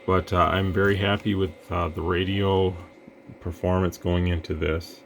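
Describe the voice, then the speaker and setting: A man testing radio gear in a relaxed, rambling way, breaking into mic checks and counting. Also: sits low, moderate pace, slight background noise. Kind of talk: casual product demo.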